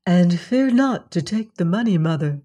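A woman voicing a kind, gracious young princess in a storybook tale, gentle and reassuring. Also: fairly low pitch, animated, moderate pace.

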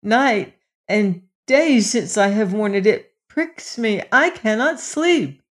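A woman's voice: mid-range voice, lively intonation, slow, voice pushed up. A woman voicing a queen's little son in a storybook, a suffering young prince who whines and pleads. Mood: plaintive. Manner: whining, pleading.

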